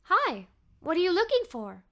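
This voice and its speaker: A woman's voice: extremely high pitch, huge pitch swings, normal speed, bright, forceful tone, voice pushed up, full character voice. A woman voicing a curious, eager young girl adventurer in a children's story, inquisitive in manner.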